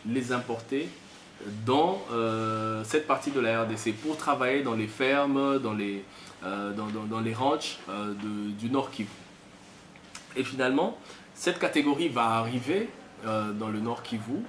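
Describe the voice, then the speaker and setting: Male, Congolese accent, medium-pitched, off-the-cuff didactic explainer who repeats phrases; echoey room sound. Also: measured pace, fairly bright tone. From DR Congo, Kasai region. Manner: didactic, step-by-step with repetition. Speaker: commentator on history. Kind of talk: unscripted explanatory talk. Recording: echoey room, faint background noise.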